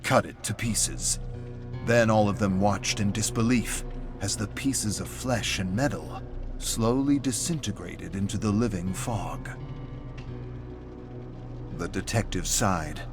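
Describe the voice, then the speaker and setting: Guy with a lowish voice reading a dramatic story, music playing under him. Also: slow pace, pauses often. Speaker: narrator. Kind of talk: dramatic scripted story narration.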